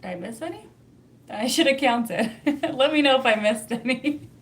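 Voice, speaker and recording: A woman's voice: high-pitched, lively intonation, moderate pace. A young Canadian woman with a Canadian accent, hosting a casual livestream, chatty and laughing often as she answers viewer comments. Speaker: livestream host reading chat.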